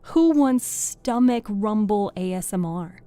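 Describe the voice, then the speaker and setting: A woman's voice: medium pitch, lively intonation, slow pace, mellow, no echo, faint music behind. A woman live-streaming as a VTuber and book reader, chatting casually with viewers, thanking them by name and laughing often. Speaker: streamer and VTuber reading host.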